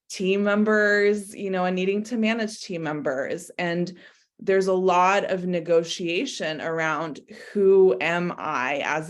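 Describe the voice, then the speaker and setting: A woman from Croatia and America, speaking as an interview guest in an introspective, conversational way peppered with "like". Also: lowish voice. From born in Croatia, lived mostly in America. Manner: introspective, hedging with frequent "like". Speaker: interview guest, business coach.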